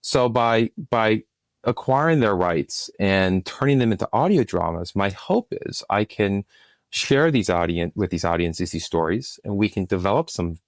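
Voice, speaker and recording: A middle-aged man with a very low voice talks as an interview guest. His delivery is earnest and emphatic, like a practised storyteller piling up his words. The sound is close and very dry. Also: lively intonation, normal speed, dry recording. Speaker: guest, film and podcast producer. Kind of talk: conversational interview answers.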